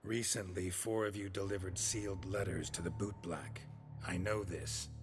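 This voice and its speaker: An actor playing a gruff, middle-aged monster hunter in a video game, with a clipped, blunt, deadpan delivery. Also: male voice, low voice, moderate pace. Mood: firm, demanding. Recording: music playing behind.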